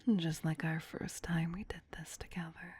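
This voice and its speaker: A tender vampire queen, acted by a woman, whispers in an intimate ASMR roleplay. The voice is very breathy and fairly low, staying soft and even in its melody. The manner is doting, possessive and soothing. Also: normal speed, no room sound. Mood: loving, gently coaxing.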